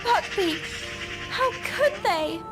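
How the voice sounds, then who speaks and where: A woman's voice: extremely high pitch, lively intonation, slow, loud music behind the voice, heavily acted. A woman voicing an earnest, anxious young schoolgirl witch in a video game. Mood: upset.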